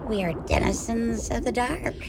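A woman playing a stern, scornful witch in an amateur radio drama, creaky and theatrical, as if chanting spells. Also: on the high side, moderate pace. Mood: menacing. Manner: theatrical, incantatory. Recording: clear storm-like background noise.

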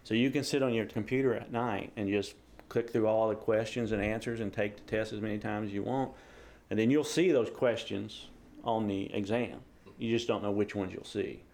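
Low-voiced man. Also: fairly even intonation, moderate pace, darkish tone, slight background noise. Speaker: amateur radio operator being interviewed. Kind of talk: casual explanatory interview.

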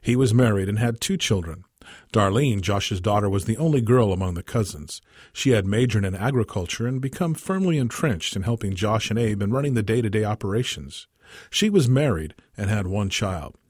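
Male narrator for a short story, low husky voice, dry recording. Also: animated, normal speed, darkish tone, no room sound. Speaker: story reader.

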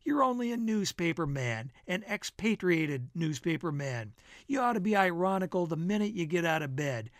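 A man's voice: sits high, sing-song, moderate pace, dry recording, studio quality. Man acting out a brash, teasing American wisecracker. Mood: needling. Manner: emphatic, needling, declamatory. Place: dry radio studio.

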